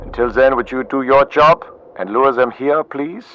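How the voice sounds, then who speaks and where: An actor playing a sardonic German tank commander in a World War II game, with dry, mocking, clipped military delivery. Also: male voice, medium pitch, normal speed, highs cut off, a little hiss or hum, lightly acted. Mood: dry mockery. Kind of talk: video game cutscene acting.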